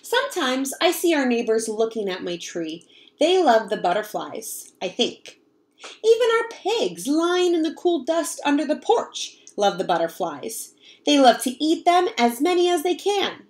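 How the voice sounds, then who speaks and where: High-voiced young woman reading to children. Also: measured pace. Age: young adult. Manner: expressive, explanatory, addressing young children. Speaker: read-aloud storyteller, teacher. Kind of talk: children's picture-book read-aloud with questions to listeners. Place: home kitchen, indoors.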